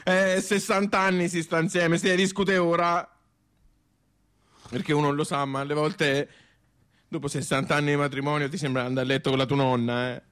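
An actor playing a plain-spoken, grumbling old husband with a Tuscan accent, talking haltingly and seeking agreement with little tag questions. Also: male voice, a little high, flat delivery, fairly bright tone, clear, resonant tone, lightly acted. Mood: weary, aggrieved.

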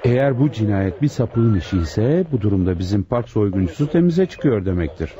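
An actor playing an earnest, dutiful deputy police detective in a radio drama, reporting deferentially to a superior. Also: male voice, fairly low pitch, animated, fairly quick, dark tone, lightly acted. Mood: speculating. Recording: muffled old radio broadcast.

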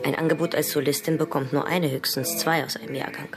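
An actress plays an ambitious young woman violinist in a radio drama. Her voice is very low for a woman, determined and precise, with music clearly behind it. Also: fairly even intonation, fast, lightly acted. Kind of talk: German radio drama acting.

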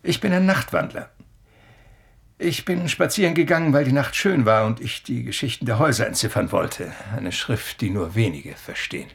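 Creaky husky old professor in a radio play, polite, evasive, a bit eccentric. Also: male voice, medium pitch, lively intonation. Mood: evasive, musing. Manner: courtly, deliberate, slightly hesitant. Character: elderly eccentric male professor, courteous, evasive, morphine addict. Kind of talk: German radio play acting.

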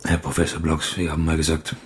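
An actor playing a calm male journalist who puts measured, challenging questions in a radio crime drama. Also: rumbling low, slight background noise.

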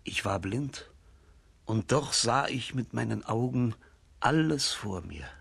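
Old blind tsar, radio play, slow, solemn, raspy and frail, very dry close sound. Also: male voice, medium pitch, lively intonation, a bit bright, no room sound, exaggerated voice. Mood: solemn, yearning. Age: older. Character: old, blind, sick, wise tsar and father. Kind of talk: radio play acting for children.

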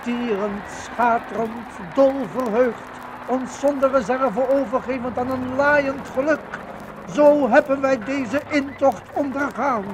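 High-pitched man, rhetorical radio witness. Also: slow pace. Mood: exultant. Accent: Dutch. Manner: theatrical, rhetorical. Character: exultant, indignant male witness of liberation. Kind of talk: 1950s radio docudrama declamation. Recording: noisy vintage 1950 radio recording.

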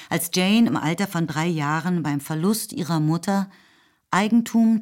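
A woman narrating a literary radio drama in a measured, composed way. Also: on the low side.

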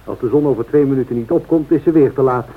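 An actor playing a genial airline captain in a radio play, a wry and chatty storyteller. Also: male voice, mid-range voice, fast talker, background noise, natural voice. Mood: amused, wry. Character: genial male airline captain telling anecdotes. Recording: tinny narrow-band old radio recording.

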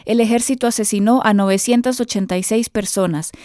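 A woman presenting a scripted radio news item with a steady, clear delivery. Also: on the high side, a little fast, no echo. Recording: dry close studio sound.

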